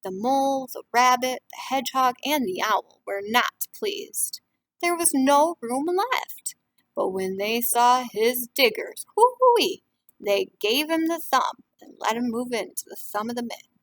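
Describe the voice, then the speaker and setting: A woman reading a picture book aloud as a teacher of young children, pausing for simple explanations and questions to the listeners. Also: fairly high pitch, lively intonation, fairly bright tone, dry recording, poor audio.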